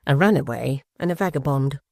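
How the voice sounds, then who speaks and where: A reader doing a curt, reproachful wife. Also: male voice, mid-range voice, expressive, brisk, vocal fry, a little mellow, no room sound, studio quality. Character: stern disapproving middle-aged wife.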